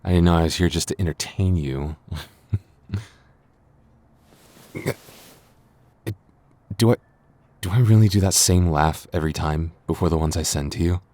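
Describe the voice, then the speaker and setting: A man's voice: low voice, normal speed, long pauses, dark tone, lightly acted. A man playing a flustered young man with a secret crush in an intimate boyfriend ASMR roleplay, stammering and getting defensive. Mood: embarrassed. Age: young adult.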